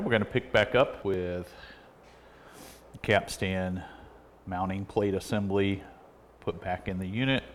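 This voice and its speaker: A middle-aged man giving a casual, plain-spoken, step-by-step repair tutorial at an indoor workbench. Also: on the low side. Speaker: repair video host. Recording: clearly noisy workshop recording.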